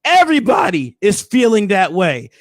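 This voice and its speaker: Hoarse guy hosting, high voice, hype and preachy, super dry sound. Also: wildly swooping pitch, normal speed, no echo. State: hoarse, losing his voice. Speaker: host. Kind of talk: casual commentary recap.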